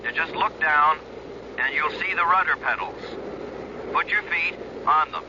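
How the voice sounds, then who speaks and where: An actor in a radio drama playing a calm colonel who coaxes a novice pilot along in a reassuring, halting, instructive way. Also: male voice, fairly high pitch, noisy background. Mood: patiently instructing. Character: calm, coaxing air force colonel guiding a novice pilot. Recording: muffled radio recording, aircraft drone behind.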